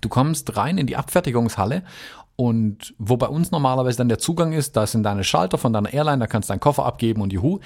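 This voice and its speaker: A man from the US tells a trip story in a loose, rambling way, filling pauses with hesitations. His voice sits at an ordinary middle pitch, relaxed and conversational. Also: creaky. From United States. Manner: rambling, chatty, many fillers. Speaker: podcast guest. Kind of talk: casual travel storytelling.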